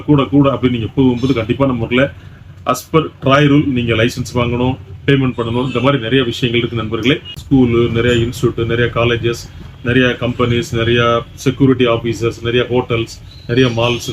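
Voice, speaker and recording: A man with a Tamil Nadu accent giving an emphatic, repetitive product sales pitch, dropping in English words as he presents. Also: level tone, fast. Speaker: shop presenter selling electronics. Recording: dull lo-fi sound with faint background noise.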